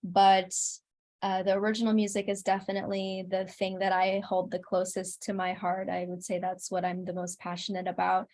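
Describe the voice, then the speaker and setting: A woman's voice: mid-range voice, monotone, normal speed, a little mellow. A woman answering as a guest in a casual interview, speaking in a thoughtful, earnest way. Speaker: interview guest, singer-songwriter.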